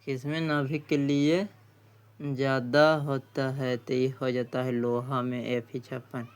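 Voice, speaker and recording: Male quiz tutor, smooth clear tone, flat intonation, halting read-aloud of questions and answers with repeats. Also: medium pitch. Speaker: exam-prep tutor.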